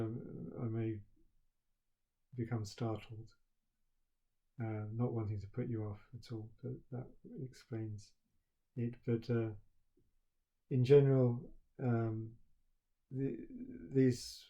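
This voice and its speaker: A middle-aged man with a soft voice, a university professor speaking haltingly to his students at the start of term, with many pauses and restarts. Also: medium pitch.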